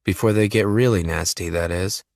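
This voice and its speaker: A wry female army investigator with a teasing edge, read by a man in a low voice close to his own. She ribs her partner dryly. The recording is dry and close. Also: moderate pace, creaky, dry recording.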